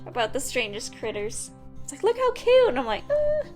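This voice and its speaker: A woman's voice: high-pitched, huge pitch swings, normal speed, darkish tone, soft background music. A woman co-host reading posts aloud and bantering casually.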